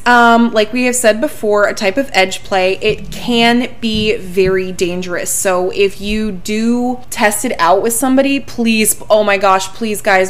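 A woman co-hosting a casual podcast, animated and laughing often, emphatic when stressing safety. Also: medium pitch. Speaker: podcast co-host.

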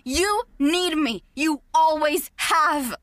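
A woman's voice: high-pitched, takes it slowly, a bit bright, a little hiss or hum. A woman voicing a manipulative, controlling young woman who mocks and belittles her partner. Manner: mocking, condescending, venomous. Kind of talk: audio drama acting.

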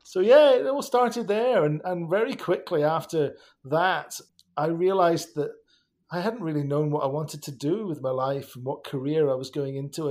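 A man's voice: on the high side, darkish tone. A Scottish man chatting as a podcast guest, laughing often and restarting his sentences. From Scotland. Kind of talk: casual podcast conversation.

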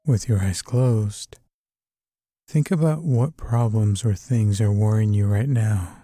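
A man with a very mellow, dark voice leads a guided sleep meditation. He speaks slowly and soothingly, with a soft husky edge, giving calm instructions. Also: lowish voice, dry recording.

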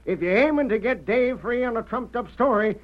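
High-pitched, blustery Old West sheriff. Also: male voice, brisk. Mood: skeptical. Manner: folksy cowboy drawl and slang. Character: blustery, skeptical Old West sheriff, stubborn but fair. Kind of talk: old-time radio western acting. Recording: muffled vintage radio recording.

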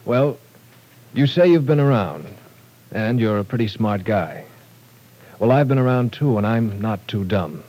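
An actor playing a calm, shrewd professor who works as a federal agent in a 1940s radio drama, speaking in a measured, firm way. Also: male voice, on the low side, rough recording. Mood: steady.

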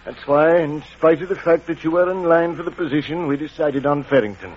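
Actor as formal headmaster, dull old radio sound. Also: male voice, a little high, brisk, lightly acted. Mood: awkward, formal. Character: formal, reserved school headmaster, politely firm. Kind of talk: old radio drama acting. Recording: dull, faintly noisy vintage radio recording.

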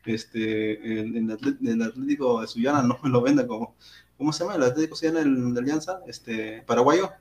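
A Peruvian man co-hosting a football podcast, giving animated opinions with many fillers. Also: mid-range voice, brisk. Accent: Peruvian Spanish. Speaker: podcast co-host. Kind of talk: casual football talk.

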